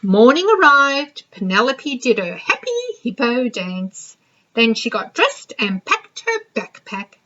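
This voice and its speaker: Female storybook reader, librarian type: highish, bright, expressive, slightly dull recording. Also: takes it slowly. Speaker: librarian reading aloud. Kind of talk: expressive children's storybook narration.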